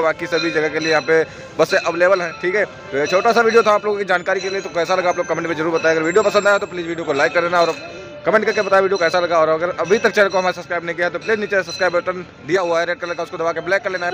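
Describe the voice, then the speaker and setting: A hoarse young man in his twenties walks through an outdoor bus station, filming himself on a phone and chatting like a tour guide. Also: sits high, fairly even intonation, racing. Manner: chatty tour-guide narration, pointing things out. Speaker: travel vlogger. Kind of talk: casual walking vlog. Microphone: phone camera at arm's length. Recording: dull lo-fi phone audio with music behind. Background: music playing and passing buses.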